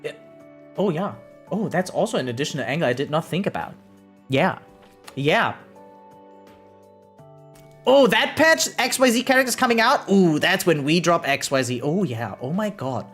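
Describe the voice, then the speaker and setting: A livestreamer reacts casually over background music, switching between reading aloud and chatting. The voice sits at a middle pitch. The delivery is animated and exclamatory, with frequent "right?" check-ins. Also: normal speed, pauses often, husky. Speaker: variety streamer. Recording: music behind the voice.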